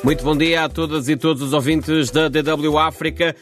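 A man's voice: a little high, fairly even intonation, moderate pace. A man presenting a scripted morning news programme on the radio. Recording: music bed under voice. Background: music.

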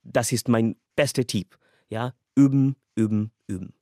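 A man's voice: mid-range voice, a little slow, darkish tone, no room sound, studio quality. A young man from Kenya, a language student whose first languages are English and Swahili, giving a casual, earnest personal account and laughing lightly between thoughts.

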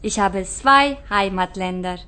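A woman's voice: mid-range voice, measured pace, bright, forceful tone. A woman playing a cheerful young schoolgirl from a Turkish family in Berlin, speaking emphatically in a scripted language-course radio drama. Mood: lively.

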